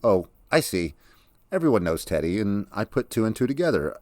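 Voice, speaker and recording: Kindly elderly man for an audiobook, acted by a male narrator, soft and genial, low register, dry recording. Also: very animated, fairly quick, no echo. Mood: helpful, knowing. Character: kindly soft-spoken man in his eighties.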